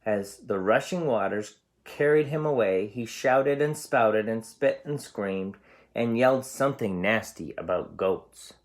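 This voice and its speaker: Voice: mid-range voice, measured pace. A storyteller reading a picture book aloud expressively for young children.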